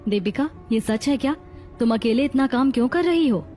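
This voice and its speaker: Caring working sister-in-law for a family audio drama, female actor: highish voice, protective, gentle advice. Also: lively intonation, moderate pace, soft background music, natural voice. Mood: concerned. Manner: concerned, gently advising. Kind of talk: dramatized moral story.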